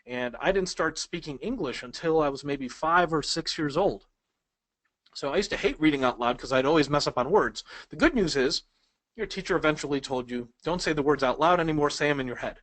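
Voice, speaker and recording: A man's voice: on the high side, a little fast, fairly bright tone, no echo. A man whose first language is Polish, teaching speed reading in a conversational webinar and asking the audience questions. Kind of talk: webinar lesson.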